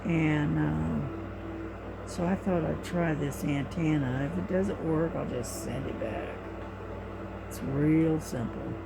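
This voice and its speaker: A middle-aged woman with a low voice, talking quietly and sleepily in a rambling way while diamond painting at home, recorded on a cell phone. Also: slow, creaky, heavy background noise. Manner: quiet, soft-spoken and rambling. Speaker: crafting vlogger.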